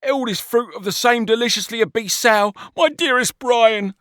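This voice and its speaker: A man's voice: moderate pace, fairly bright tone, dry recording, clean studio sound. A man doing a wailing melodramatic pig. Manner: high wailing sobbing delivery. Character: melodramatic weeping adult male pig, gluttonous and scheming.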